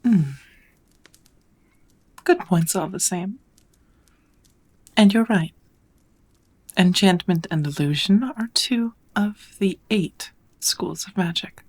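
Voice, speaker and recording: A woman playing a kind, patient witch teacher in an ASMR roleplay, speaking gently in measured, encouraging phrases that often slip into whispers. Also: fairly low pitch. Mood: patient, explanatory. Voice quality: soft, breathy. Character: kind, patient witch mentoring a dear apprentice. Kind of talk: ASMR roleplay, second-person scripted teaching.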